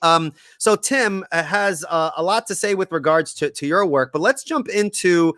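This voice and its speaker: A man's voice: fairly high pitch, normal speed, fairly bright tone, no room sound, clean studio sound. A man from Puerto Rico hosting a podcast interview, chatty and joking, with many ums and restarts. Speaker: podcast host. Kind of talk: casual interview chat.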